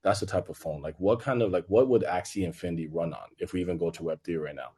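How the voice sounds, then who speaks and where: Deep husky man from Congo, native French. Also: fast talker, mellow. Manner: loose and filler-heavy, leaning on "you know". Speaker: conference panelist. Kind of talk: casual panel discussion.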